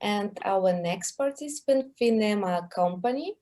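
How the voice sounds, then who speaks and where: A woman moderating an online pitch event, giving brief, polite prompts over a video call. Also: animated, a little slow, warm tone.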